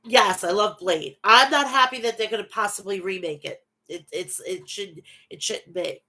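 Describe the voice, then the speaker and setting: A woman's voice: medium pitch, moderate pace, pushed and bright. A woman hosting a casual wrestling recap, talking through a stuffy nose from a bad cold, reading match results and chatting with viewers. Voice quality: nasal.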